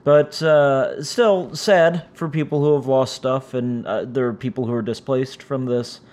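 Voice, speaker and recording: A man with a raspy, strained voice from injured vocal cords, hosting his own podcast in a rambling, casual way full of fillers. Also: medium pitch, steady tone, a little slow, darkish tone. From United States. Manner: rambling, frequent "uh" fillers, self-deprecating. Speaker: podcast host. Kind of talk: casual solo podcast chat. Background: cats making noise.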